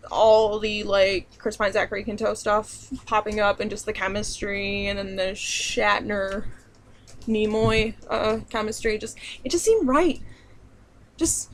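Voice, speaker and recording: A woman's voice: a little high, gravelly, fairly bright tone, slight background noise. A young woman from southern New York with a southern New York and central Pennsylvania accent, chatting and rambling through a casual Q&A vlog with lots of ums and likes. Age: young adult. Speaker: vlogger.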